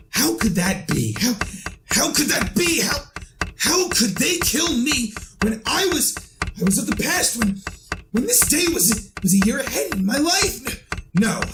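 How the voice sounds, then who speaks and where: An actor playing a tormented man who narrates his descent into madness in a halting, stammering radio horror style. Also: medium pitch, background noise. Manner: halting, stammering, overwrought, repeating himself. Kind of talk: old-time radio horror drama acting.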